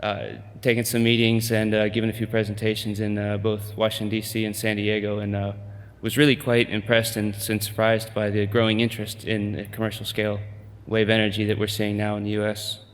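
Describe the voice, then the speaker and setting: A man in middle age giving a conference pitch, hesitant with many fillers and restarts. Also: monotone, a little fast, long reverb tail. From United States. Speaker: company presenter.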